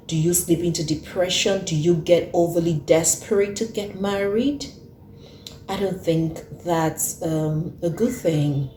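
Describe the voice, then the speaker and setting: A woman's voice: low-pitched, dark tone, echoey room, slight background noise. A woman in her thirties hosting an earnest talk, who repeats her points for emphasis. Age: 30s. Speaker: host. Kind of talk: casual talk to camera.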